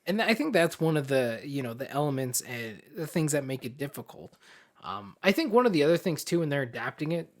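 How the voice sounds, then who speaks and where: A man's voice: a little high, sing-song, a little fast. A man co-hosting a podcast in casual chat, agreeable and often checking that he is being followed. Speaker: podcast co-host.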